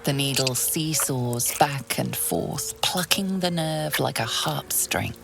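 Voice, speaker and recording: A woman playing a coolly composed, ominous narrator in a fantasy video game, telling the story in a measured way. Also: rumbling low, vocal fry, soft background music, heavily acted. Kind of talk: scripted second-person game narration.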